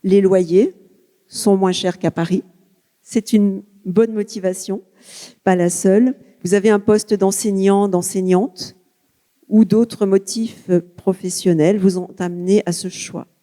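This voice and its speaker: A woman moderating an art round table, asking measured questions and addressing the panelists by first name. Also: mid-range voice, fairly even intonation, warm tone. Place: museum auditorium. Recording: long reverb of a hall.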